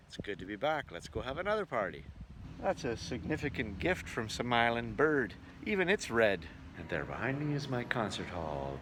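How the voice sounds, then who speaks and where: A man who entertains as a musician, talking in an animated, folksy storytelling way in a casual travel vlog. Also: fairly low pitch, noisy background. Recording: roomy echo, prominent acoustic guitar music.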